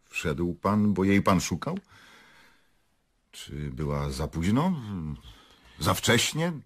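An actor in a radio play playing a persistent, curt prosecutor with an official manner. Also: male voice, low voice, very animated, takes it slowly, a bit bright, husky, exaggerated voice. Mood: probing, official. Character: brisk, persistent male prosecutor questioning witnesses, dry and official. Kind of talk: radio drama acting.

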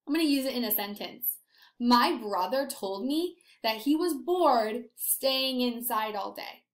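High-pitched young woman teaching small children. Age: young adult. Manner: simple, encouraging, questioning. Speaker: elementary school teacher. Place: indoors, small apartment room. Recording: roomy home webcam sound.

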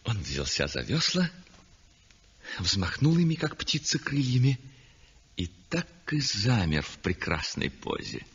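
A man's voice: mid-range voice, very animated, measured pace, fairly bright tone, husky, exaggerated voice. An actor playing a self-satisfied, exuberant and condescending landscape painter, theatrical and savouring his phrases, in a 1960s radio drama style. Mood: pleased, lyrical. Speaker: actor narrating in first person. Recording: dull vintage 1960s radio recording.

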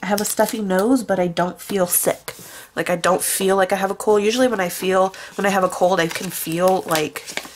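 A woman's voice: medium pitch, fairly even intonation, normal speed, a little hiss or hum. A young woman with a stuffy, nasal voice, rambling in casual chat while she decorates a planner at her desk. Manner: rambling, chatty, with many fillers. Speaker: planner vlogger. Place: at a desk indoors, hands on a planner.

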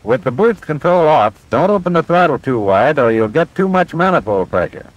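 A military flight instructor from a 1940s training film. His voice sits fairly high and swings animatedly in pitch. He explains step by step with patient confidence, heard on a vintage film soundtrack. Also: big pitch swings, normal speed, dry recording, low-quality recording. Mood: patient, instructive. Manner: clear, methodical instructional delivery.

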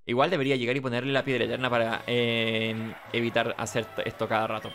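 A man's voice: mid-range voice, little pitch movement, quick pace, a bit bright, a little hiss or hum. A man giving casual, rambling let's play commentary, talking to himself. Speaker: gaming host.

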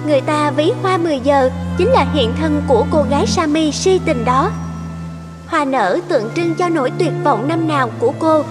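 A woman tells a fairy tale as a scripted narrator. Her voice is high, and music plays clearly behind her. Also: normal speed.

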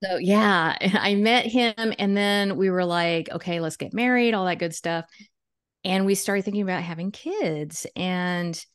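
A woman's voice: on the low side, unhurried, smooth, no room sound. Chatty woman guest, dry close mic. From United States. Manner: chatty, laughs often, many restarts. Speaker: podcast guest, chicken educator. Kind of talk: casual interview storytelling. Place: home room with bookshelves. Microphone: studio mic on video call.

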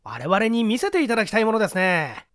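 A man voicing an eager, polite young gentleman in a story reading. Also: sits high, very animated, quick pace, no echo, studio quality, voice pushed up.

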